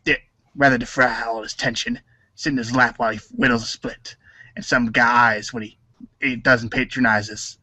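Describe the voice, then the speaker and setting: Man playing a bright-voiced, cocky cool teen boy. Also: mid-range voice, flat delivery, moderate pace, dry recording. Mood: cocky, rapping. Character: cool, cocky, rap-obsessed teenage boy wisecracker. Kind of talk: read-along storybook reader's theater acting, rapping.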